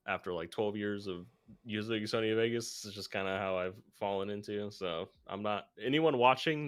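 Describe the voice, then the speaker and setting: A man's voice: fairly low pitch, a bit bright, no room sound. A man talking informally and self-deprecatingly as he walks through his video editing on a casual livestream. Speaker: video editor and streamer.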